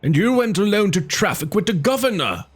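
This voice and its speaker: Casting: a man playing a gaunt old warrior chief, frothing with rage, high and screeching. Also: very animated, a little fast, husky, faint music behind, exaggerated voice. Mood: furious accusing. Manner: screeching, frenzied shouting.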